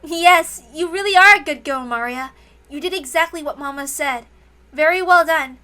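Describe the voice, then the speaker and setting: Doting young mother, played by a woman: tender, soothing, gently chiding, high and bright, music behind. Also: normal speed. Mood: gentle, apologetic.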